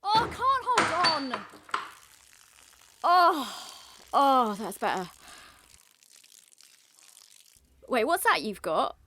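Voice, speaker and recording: A woman's voice: sits high, big pitch swings, takes it slowly, bright, forceful tone, slight background noise, exaggerated voice. A woman playing a prim, naive and nosy young penitent, earnest in tone, in a period audio drama. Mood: urgent, nosy. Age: young adult. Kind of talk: period audio drama acting, narration and dialogue.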